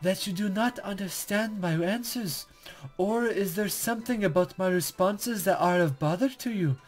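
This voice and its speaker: A man plays a mysterious female guide from a visual novel. Her voice sits high, and she talks in archaic, formal turns with a teasing, knowing smugness. Music plays clearly underneath. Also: moderate pace. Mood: gently concerned. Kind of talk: visual novel character read-aloud.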